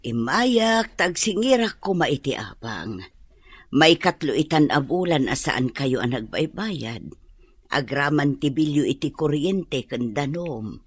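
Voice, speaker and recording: A woman playing a firm middle-aged landlady in a radio drama, husky and insistent, with a Filipino accent. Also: deep voice, lively intonation, slow pace, no echo, exaggerated voice. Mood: demanding. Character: firm older boarding house landlady demanding overdue rent.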